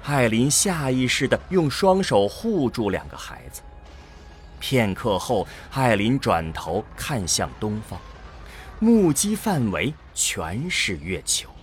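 A man's voice: medium pitch, very animated, slow pace, slight background noise. A man narrating an audio drama from a script in a grave, dramatic manner.

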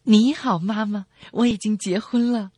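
A man's voice: high-pitched, fairly even intonation, moderate pace, darkish tone, no room sound. A man voicing a hesitant newlywed daughter confessing to her mother in a comedy radio sketch.

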